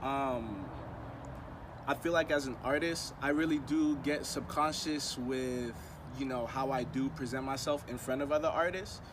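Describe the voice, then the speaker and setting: A man's voice: mid-range voice, measured pace. Laid-back young man, traffic behind. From United States. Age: 20s. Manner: laid-back, reflective, many fillers like um and you know. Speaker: rapper being interviewed. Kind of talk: casual conversation. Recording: outdoor traffic noise.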